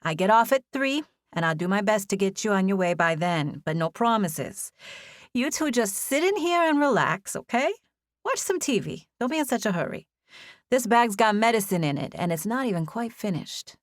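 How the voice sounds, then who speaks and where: Woman reader doing a Boston nurse, high and chirpy, brisk, talkative, dry close sound. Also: sing-song, dry recording, lightly acted. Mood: cheerful, bossy. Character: chatty female hospital nurse.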